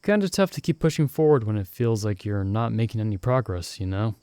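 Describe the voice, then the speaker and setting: Casting: a man as upbeat young fantasy mage, loyal best-friend type, soft mellow tone, close dry sound, casual ASMR roleplay. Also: fairly low pitch, lively intonation, a little fast, smooth, no room sound, lightly acted. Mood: candid, wistful. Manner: casual, chuckling, reassuring. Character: cheerful, caring young male friend, novice mage in fantasy world. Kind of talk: ASMR roleplay, intimate one-sided conversation.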